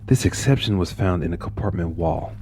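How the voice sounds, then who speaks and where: Low male narrator for a gothic horror reading; intense, whisper-to-outburst delivery over faint music. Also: fairly quick. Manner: intense, swings from hushed whispers to rising anguished outbursts. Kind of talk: dramatic horror story reading.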